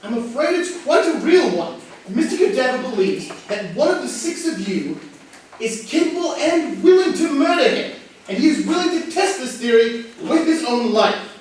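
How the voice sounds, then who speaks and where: A man's voice: very high-pitched, sing-song, normal speed. A man playing a formal, unflappable butler who speaks precisely in a comedic staged reading. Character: formal, unflappable butler hosting a murder mystery. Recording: echoey room, distant mic, faint noise.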